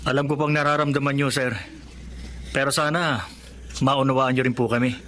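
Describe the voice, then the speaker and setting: An actor playing a dutiful, apologetic police chief, polite and official, in a Tagalog radio drama. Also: male voice, medium pitch, fairly even intonation, normal speed, fairly bright tone, slight background noise, natural voice. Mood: apologetic, firm.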